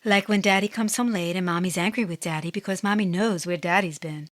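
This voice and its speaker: A woman's voice: lowish voice, fairly quick, close to an everyday voice. A woman playing a sensible, plain-spoken young companion, patient but exasperated, in a comedy audio drama.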